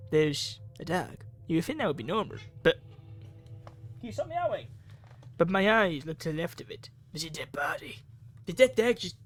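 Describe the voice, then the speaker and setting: A man reading a visual novel aloud in a theatrical way, dropping into offhand asides. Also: high-pitched. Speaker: video game player reading aloud. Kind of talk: ASMR-style visual novel read-through with asides.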